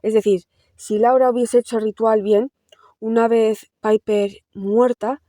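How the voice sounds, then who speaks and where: A woman's voice: fairly high pitch, fairly even intonation, measured pace, warm tone, no room sound, studio quality. A woman explaining a film plot to her viewers in a casual, conversational way. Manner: explanatory, talks directly to viewers with frequent restarts. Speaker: film reviewer. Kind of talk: casual film analysis to camera.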